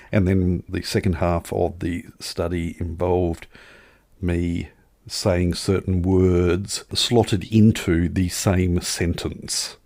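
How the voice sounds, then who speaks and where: A man's voice: deep voice, gravelly, dark tone, no room sound. A man chatting casually as a podcast co-host, telling anecdotes in wry, wordy turns of phrase.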